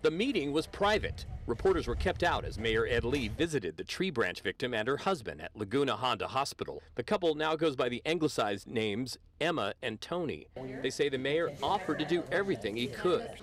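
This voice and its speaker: A man's voice: medium pitch, lively intonation, brisk. An American man narrating a scripted television news report as a reporter.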